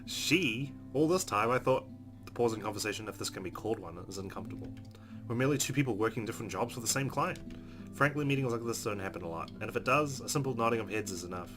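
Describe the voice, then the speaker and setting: A man's voice: on the low side, lively intonation, quick pace. A man playing a visual novel, reading the narration aloud expressively with casual, wry asides over game music. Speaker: let's player. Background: game music with guitar.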